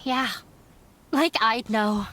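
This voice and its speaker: A woman's voice: on the high side, drawn-out words, fairly bright tone, slight background noise. A woman voicing a dejected, self-blaming young runaway daughter in a video game, speaking in a resigned, confessional way. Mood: bitter, downcast.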